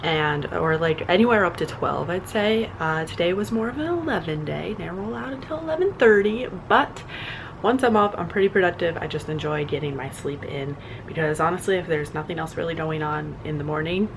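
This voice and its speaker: A woman's voice: on the low side, lively intonation, a little fast, creaky, background noise. A young woman vlogging casually at home, chatty and rambling, a little congested and nasal. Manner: chatty, rambling, self-deprecating. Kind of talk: casual vlog chat. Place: at home in an apartment.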